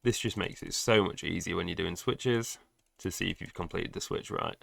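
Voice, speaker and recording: A man's voice: low-pitched, normal speed, vocal fry, a bit bright, dry recording. A young man with a cold, chatty and explaining game plugins in a tutorial voice-over with dry asides. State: has a cold. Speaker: gaming guide creator.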